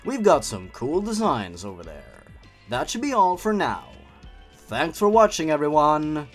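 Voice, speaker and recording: A man's voice: a little high, big pitch swings, a little slow, soft background music. A man hosting with scripted narration and commentary, theatrical and sarcastic in manner. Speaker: YouTube host reviewing horror stories.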